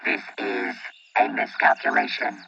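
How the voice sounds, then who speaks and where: An actor playing a cautious, protective robot in an audio drama, speaking formally and deadpan through a robot filter. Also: male voice, unhurried, muffled recording. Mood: calm, alert.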